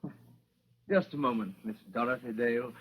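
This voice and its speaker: An actor playing a haughty, evasive gentleman lawyer with pompous, fussy phrasing, in 1940s radio style. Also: medium pitch, brisk, lightly acted. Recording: old muffled radio recording, faint hiss.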